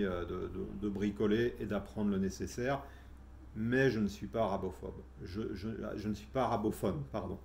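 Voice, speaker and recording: A man's voice: lowish voice, creaky, darkish tone, slight background noise. A middle-aged man telling his personal story in a casual, hesitant way, with many fillers and restarts. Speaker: vlogger telling his spiritual journey.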